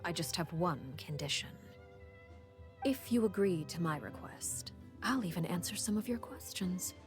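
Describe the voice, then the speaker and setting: A cool, low-pitched woman leader, played by an actress in a video game. She speaks in a measured, calculating way and sounds faintly condescending. Soft game music sits behind her. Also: expressive, close to an everyday voice. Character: cold, composed adult woman leader, calculating.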